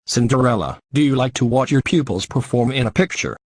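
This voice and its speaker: A man's voice: medium pitch, a little fast, husky, no room sound. A man reading scripted announcements in the style of an old radio announcer.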